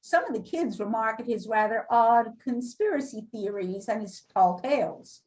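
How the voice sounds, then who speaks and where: A woman's voice: a little high, animated, moderate pace, darkish tone, natural voice, low-quality recording. A woman playing a defensive, businesslike school administrator in an amateur readers theater reading. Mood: frank, polite.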